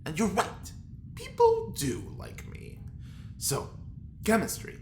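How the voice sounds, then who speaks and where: An actor playing a vain, fretful, aging criminal and test subject in an audio drama, speaking dramatically and haltingly. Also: male voice, very high voice, huge pitch swings, full character voice. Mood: smug, flirtatious. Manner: dramatic, halting, self-admiring. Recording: noisy, roomy recording.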